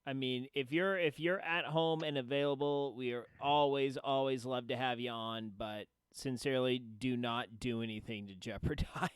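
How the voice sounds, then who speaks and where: A man's voice: medium pitch, steady tone, normal speed, few pauses, smooth, dry recording. A man hosting a livestream, talking casually with friends and viewers as he plays. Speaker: livestream host. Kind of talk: casual gaming stream chat.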